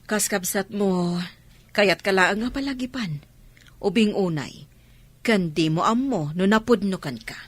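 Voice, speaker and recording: An actress playing a meddling, disapproving relative in a radio drama, with an Ilocano Filipino accent. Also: female voice, mid-range voice, a little slow, dry recording, close to an everyday voice. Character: meddling, disapproving female relative warning a woman.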